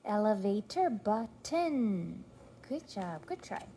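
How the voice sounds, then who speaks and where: A woman reading a storybook aloud to a toddler in an encouraging, sing-song way, pausing to prompt and praise. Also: medium pitch, sing-song, dark tone. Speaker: parent reading aloud to a young child.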